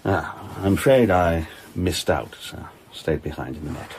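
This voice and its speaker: An actor playing a brusque, dismissive police inspector with a British accent and a patronising, sceptical manner in a radio drama. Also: male voice, deep voice, lively intonation, a little slow, a little hiss or hum. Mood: polite, sceptical.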